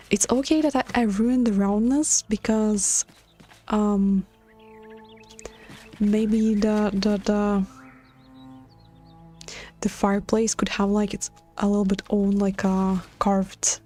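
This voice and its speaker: A woman's voice: medium pitch, fairly even intonation, slow pace, long pauses, dark tone, soft background music. A woman from Latvia with a Latvian accent, chatting casually on a live stream while she builds in a game, hesitant and full of filler sounds and restarts.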